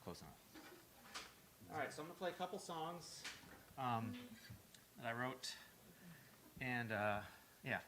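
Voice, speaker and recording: A Finnish-born man chatting hesitantly into a stage microphone between songs at an open mic, with lots of pauses. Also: mid-range voice, expressive. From Finland. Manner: hesitant, soft-spoken, full of pauses. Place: small music club stage. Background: guitar playing.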